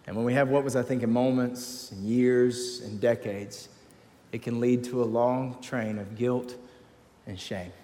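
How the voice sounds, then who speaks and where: A man's voice: medium pitch, fairly even intonation, a little slow, mellow, in a large hall. A man preaching a sermon to a men's group, emphatic and repeating key phrases. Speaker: pastor. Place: large church hall.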